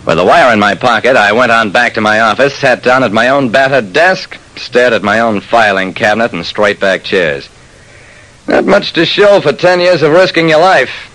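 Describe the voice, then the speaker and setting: An actor playing a shrewd, wry private detective, narrating and talking in hard-boiled old-time radio style. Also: male voice, medium pitch, animated, moderate pace, a bit bright, low-quality recording. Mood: wry narration. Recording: vintage radio broadcast sound.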